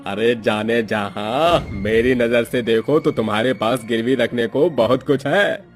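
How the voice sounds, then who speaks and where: A man's voice: mid-range voice, a little slow, faint music behind, lightly acted. A man playing a greedy, lecherous village moneylender in a cartoon story, callous, scornful and insinuating. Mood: leering.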